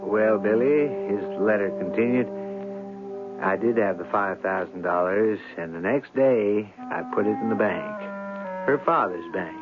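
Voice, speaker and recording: A man's voice: on the low side, unhurried, lightly acted. An actor playing a breezy, self-amused man who narrates his own story in a chatty, wry way in a radio drama. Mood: wry, pleased. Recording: dull vintage radio, music behind.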